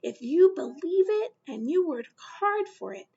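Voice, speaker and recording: A woman's voice: high-pitched, very animated, a little slow, gravelly, mellow, lo-fi sound, pitched above the performer's own voice, heavily acted. A woman voicing a young girl's encouraging mother, speaking emphatically in a storybook reading.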